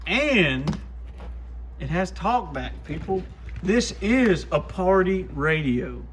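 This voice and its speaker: A man's voice: sits high, big pitch swings, slow, slight background noise. A man giving casual hands-on testing commentary as a host, plainspoken and full of folksy slang. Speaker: host, CB radio shop technician testing used radios.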